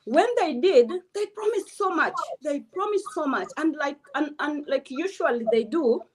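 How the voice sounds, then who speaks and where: A woman's voice: high voice, lively intonation, moderate pace, noisy background. A woman from Kenya, giving blunt, emphatic political opinions as an interview guest.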